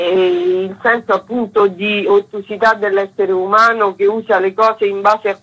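A woman talks in a reflective interview about her theatre work. Her voice is husky and tense, and she explains things carefully, stopping now and then to find the word. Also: mid-range voice, a bit bright, rough recording. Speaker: theatre artist being interviewed. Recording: radio interview broadcast.

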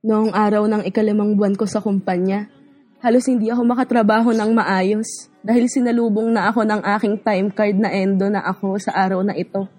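Woman playing tired young mom telling her story, soft mellow voice, faint music behind, radio drama. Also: medium pitch, level tone, a little fast, close to an everyday voice. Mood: weary, earnest. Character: humble, weary young working mother telling her story. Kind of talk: scripted first-person drama narration. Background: music bed.

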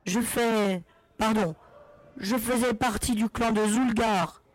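Male actor as galactic smuggler, very high voice, agitated, plaintive, exiled captain, audio drama. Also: slow. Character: hysterical red-haired galactic smuggler, exiled trader captain. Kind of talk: French audio drama acting.

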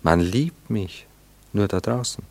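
Low voiced gentleman flirt, gallant n teasing, lazy irony, radio play style. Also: slow pace, vocal fry, heavily acted. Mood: playful, ironic. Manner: gallant, teasing, languidly ironic. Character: idle, teasing Viennese gentleman flirt, gallant and self-ironic. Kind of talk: German radio play acting.

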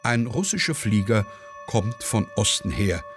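A man narrating a scripted radio play in a deliberate, measured manner. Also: fairly low pitch, big pitch swings, soft background music.

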